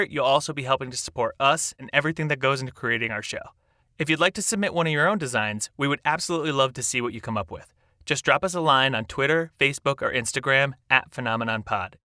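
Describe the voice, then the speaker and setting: Bright, upbeat man reading a promo. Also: mid-range voice, dry recording, clean studio sound. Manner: upbeat, enthusiastic. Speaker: podcast creator reading a merch promo. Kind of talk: scripted promotional announcement.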